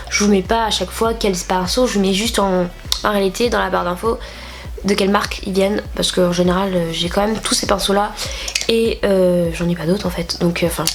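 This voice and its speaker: A young woman with a cold and a nasal voice, chatting casually through a makeup tutorial with lots of fillers. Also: medium pitch. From France. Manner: chatty, rambling with many fillers. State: stuffy nose from a cold. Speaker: beauty vlogger.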